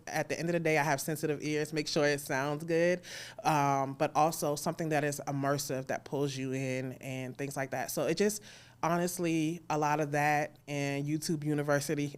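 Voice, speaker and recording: Woman with a clear, smooth voice, chatting informally. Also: low-pitched. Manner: candid, informal, laughs easily while talking. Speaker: interview guest, audio drama creator and sound designer. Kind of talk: casual conversational interview at a live event.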